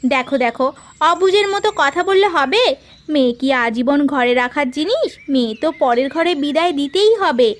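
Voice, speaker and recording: Woman voicing a high-pitched nagging cartoon wife. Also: animated, normal speed. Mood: chiding. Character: nagging, practical wife and mother of a grown daughter. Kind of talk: Bengali cartoon story dialogue.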